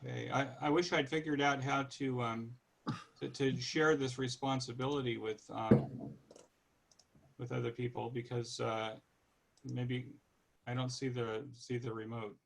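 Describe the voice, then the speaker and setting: A man in his sixties from California with an American accent, giving an informal, halting, conversational online presentation. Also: medium pitch, fairly even intonation, creaky, a little hiss or hum. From California, United States. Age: 60s. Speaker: guest presenter.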